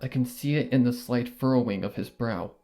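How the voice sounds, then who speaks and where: A man narrating a first-person horror fanfiction in a measured, brooding way. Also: mid-range voice, dark tone, soft background music.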